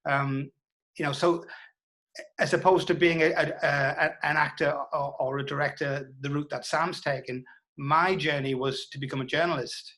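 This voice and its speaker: A man's voice: on the high side, fairly even intonation, moderate pace, sharp tone. A British man in his sixties, reminiscing as a guest in an interview. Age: 60s. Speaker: interview guest, former television producer and journalist.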